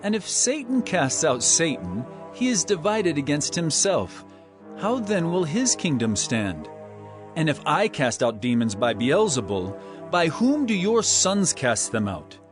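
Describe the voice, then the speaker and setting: A man's voice: fairly high pitch, big pitch swings, a little slow, music in the background. An actor playing a stern, earnest male teacher with authority, declaiming emphatically in a dramatized scripture production. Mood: firm, reasoning.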